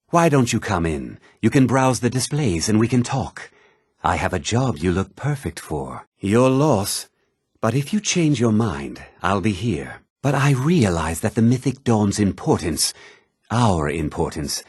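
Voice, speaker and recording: Zealous cult-descended curator, fantasy video game, rough husky voice, very animated delivery, dry close sound. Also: male voice, on the low side, no echo, full character voice. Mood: solemn, fervent. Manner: theatrical, earnest, swinging delivery. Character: obsessed, zealous museum keeper descended from a cult. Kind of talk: scripted video game dialogue.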